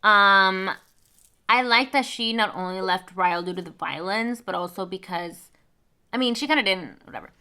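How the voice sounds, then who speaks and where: A woman's voice: mid-range voice, moderate pace, pushed and bright, smooth. A young woman from Mexico whose first language is Spanish, chatting casually through a book review with lots of fillers and asides. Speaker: book vlogger.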